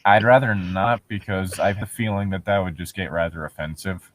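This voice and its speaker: A man's voice: sits low, steady tone, brisk, no echo. A man giving casual comedic commentary and reading passages aloud, sounding sarcastic and incredulous and swearing casually. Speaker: co-host reading and riffing on internet horror stories.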